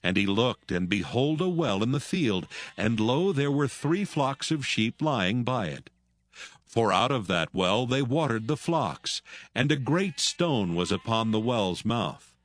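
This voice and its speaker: A man narrating dramatized scripture as a solemn, measured storyteller. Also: mid-range voice, no room sound. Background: music.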